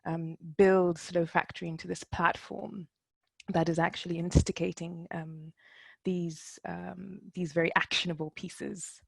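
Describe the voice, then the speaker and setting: A woman moderates a panel in an unscripted way. Her voice sits on the low side with a lot of creak. She phrases things hesitantly and carefully, in a dry-sounding recording. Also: flat delivery, measured pace, dry recording. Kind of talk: unscripted interview question.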